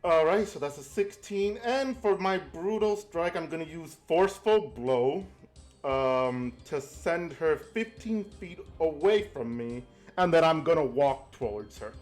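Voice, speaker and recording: A man's voice: fairly high pitch, animated, unhurried, darkish tone, faint music behind. A man playing a tabletop game, chatting casually and thinking aloud in a playful, rambling way.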